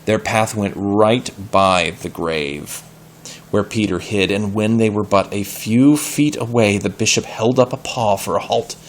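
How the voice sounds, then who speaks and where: A man reading a story aloud expressively, pausing to explain words and ask questions in a patient, teacherly way. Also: fairly low pitch, a little hiss or hum.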